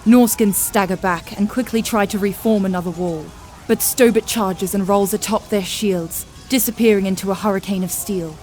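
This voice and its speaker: A woman's voice: medium pitch, steady tone, normal speed, darkish tone, faint music behind. A woman narrating a scripted fantasy audio drama in a grave, vivid storytelling manner.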